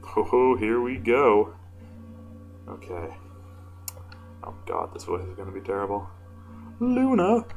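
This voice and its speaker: A man with a deep voice reading fan fiction casually, informal and self-deprecating, stumbling now and then. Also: measured pace, vocal fry, long pauses, faint music behind. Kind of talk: casual amateur story reading with asides.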